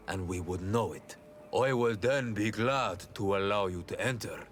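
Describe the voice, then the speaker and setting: Stern gatekeeper, deep-set pitch, slow delivery, dutiful, formal, unyielding, game cutscene. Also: male voice, slight background noise. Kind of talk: video game cutscene acting.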